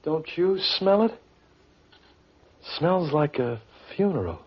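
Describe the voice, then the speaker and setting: A folksy American sidekick in a golden-age radio play. His voice sits fairly high, and he sounds informal, naive and full of questions. The recording is old and muffled. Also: expressive, takes it slowly, husky, full character voice. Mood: casual, teasing. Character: folksy, curious, plain-spoken American male sidekick. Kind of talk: old-time radio drama acting.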